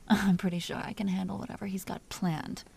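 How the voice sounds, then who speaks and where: A woman voicing a dominant, teasing vampire duchess in an intimate audio roleplay, often dropping into breathy whispers. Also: medium pitch, flat delivery, normal speed, darkish tone, a little hiss or hum. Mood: amused, commanding. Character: dominant female vampire duchess, seductive, teasing, coolly imperious. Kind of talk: scripted audio roleplay, intimate monologue to listener.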